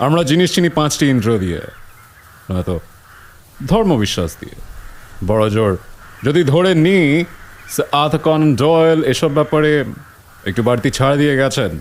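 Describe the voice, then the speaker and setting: A man's voice: mid-range voice, close to an everyday voice. A man playing a skeptical, pragmatic school principal in an audio drama, dry, scornful and lecturing. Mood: critical lecturing.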